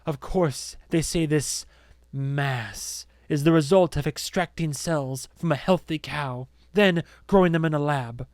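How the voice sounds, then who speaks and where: A man's voice: on the high side, unhurried, darkish tone, no echo, studio quality, natural voice. A man voicing a menacing woman zealot in an audiobook, theatrical and accusing. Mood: solemn, insinuating. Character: solemn, menacing woman zealot preaching to followers. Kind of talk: audiobook character acting.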